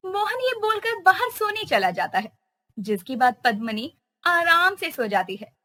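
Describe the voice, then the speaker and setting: Casting: woman storyteller, expressive, slow and bright. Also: very high voice. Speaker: narrator. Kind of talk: storytelling narration.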